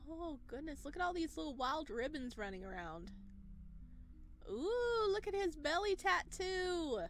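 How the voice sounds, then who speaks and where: A woman hosting a gaming video, casually commenting and reading narration aloud in an expressive, gushing manner. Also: high-pitched, measured pace, slight background noise.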